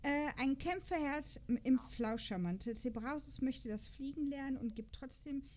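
Woman podcaster w a high voice, chatting casually, kinda jokey, going thru her notes. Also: animated, normal speed, a little mellow, slight background noise. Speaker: podcast host.

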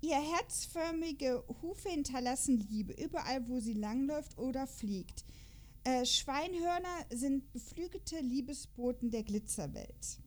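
A woman's voice: high-pitched, animated, measured pace. A woman in a casual podcast chat, playful and giggly, reading character profiles aloud and stumbling over words. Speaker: podcast host.

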